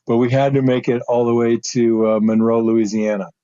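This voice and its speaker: A man's voice: fairly quick, no echo. Rambling middle-aged man on a lo-fi podcast. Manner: rambling storyteller, laughs often, jumps pitch when excited. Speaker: former pro football lineman, co-host. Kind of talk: casual podcast chat. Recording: slightly dull lo-fi sound.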